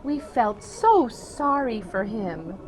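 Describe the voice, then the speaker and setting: Actress as kindly, scatty old lady for radio farce; slightly high, animated voice, genteel and matter-of-fact. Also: takes it slowly, background noise. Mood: fondly reminiscing. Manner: genteel, doting. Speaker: actor. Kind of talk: theatrical radio comedy acting.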